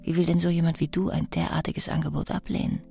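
A woman's voice: low-pitched, flat delivery, fast talker, creaky, dark tone, faint music behind. An actress playing a seductive, taunting woman in an intimate radio play, provocative and half-whispering.

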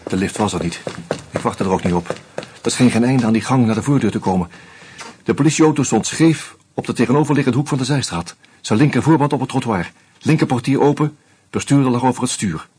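A male narrator reading a first-person novel aloud in a very husky, breathy voice. His delivery is calm and measured, sitting low in pitch. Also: dry recording. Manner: calm, measured, subdued storytelling.

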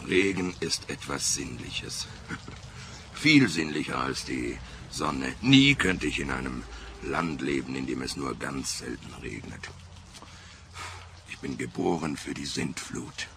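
An actor in a radio play playing a self-important, attention-hungry politician with a gravelly bass voice and a stammering, chuckling manner. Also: male voice, animated, slow, sharp tone, a little hiss or hum, heavily acted. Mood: smug, wistful. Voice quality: very deep, gravelly. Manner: chuckling, stammering, theatrical sighing.

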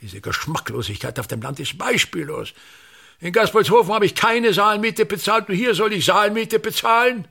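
A man's voice: high voice, animated, normal speed, creaky, bright, forceful tone, heavily acted. An older actor in a radio play, playing a pompous, embittered old touring stage actor and tyrannical father who declaims and complains theatrically. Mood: disgusted, ranting.

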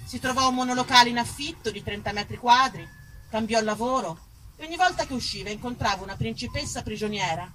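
A woman from Tuscany performing a theatrical monologue as an actress and storyteller, expressive and emphatic, dwelling on single words. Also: a little high, quick pace, soft background music, rough recording. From Tuscany, Italy. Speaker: stage actress, writer and storyteller.

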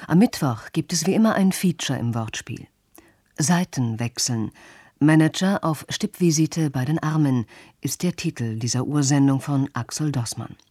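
A female radio announcer reads in a formal, measured way. Her voice is low, dark and husky, very dry and close, with faint music. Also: no echo. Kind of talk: scripted announcement.

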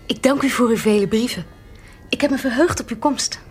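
Woman playing polite naive young niece, highish voice, radio play, bit of music behind. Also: brisk, a little mellow, close to an everyday voice. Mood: polite, surprised. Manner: polite, earnest, inquisitive. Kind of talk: Dutch radio drama acting.

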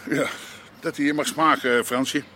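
An actor playing a gruff, boastful old-school crime boss, coarse in manner, in a radio drama. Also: male voice, mid-range voice, steady tone, normal speed, sharp tone, a little hiss or hum, natural voice. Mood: gruff banter. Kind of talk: naturalistic Dutch radio drama dialogue.

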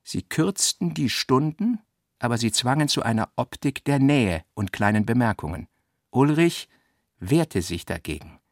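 Creaky-voiced man narrating literature. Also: mid-range voice, very animated, unhurried, no echo, studio quality. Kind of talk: scripted literary narration for a radio production.

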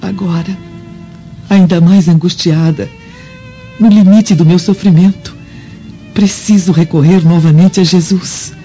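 A woman's voice: fairly low pitch, fairly even intonation, measured pace, long pauses, dark tone. An actress playing an anguished, pious Roman noblewoman in a Brazilian Portuguese radio drama, earnest and formal. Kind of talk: dubbed radio drama acting. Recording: dull lo-fi audio with music underneath.

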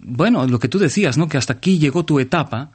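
A man's voice: a little high, lively intonation, fast, darkish tone, slightly rough. A man hosting a conversational radio interview, speaking in an effusive, personal way to his guest.

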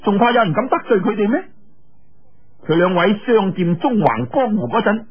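A man's voice: high-pitched, sing-song, normal speed, breathy edge, dull audio, pitched above the performer's own voice, exaggerated voice. A man voicing a playful, willful young woman in an audiobook, coquettish and whining as he pouts and teases. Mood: pouting.

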